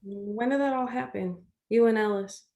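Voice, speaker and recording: A woman's voice: a little high, normal speed, a little mellow, natural voice. An actress playing a loyal, reassuring young country woman in a stage play reading, plain-spoken and earnest. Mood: concerned. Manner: folksy, earnest, reasoning things out.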